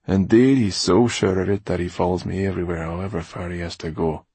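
A man's voice: low voice, fairly even intonation, brisk, warm tone, slightly rough, no echo. A man voicing a wry, weary, secretive fire performer with a sardonic, muttering manner in an audiobook. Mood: amused. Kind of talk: audiobook character dialogue.

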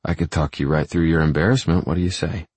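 A man voicing a smitten, protective, blunt-spoken male lover in an audiobook, low and husky. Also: fast, darkish tone, dry recording. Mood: seductive, commanding. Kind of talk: audiobook character dialogue.

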